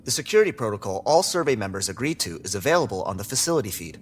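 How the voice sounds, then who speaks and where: A sardonic security android, narrates an audiobook drama in a lowish voice. The delivery is dry and guarded, yet the pitch moves around freely, with some clear ambient noise underneath. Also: male voice, very fast. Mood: sardonic, irritated. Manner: deadpan, dry, sarcastic. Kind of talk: first-person audiobook narration and dialogue.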